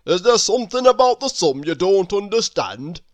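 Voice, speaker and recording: A woman voicing a stern male schoolteacher from a children's storybook with a loud, formal classroom address, in a dull recording. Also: medium pitch, very animated, moderate pace, dry recording, voice pushed up, natural voice. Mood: authoritative.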